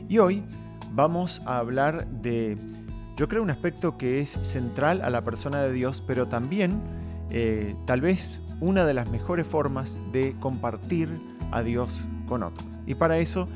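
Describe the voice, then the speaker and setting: Male podcast host, mid-range voice, reflective and conversational, pausing as he goes, faint music behind. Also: medium pitch, animated. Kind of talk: conversational podcast hosting with a guest.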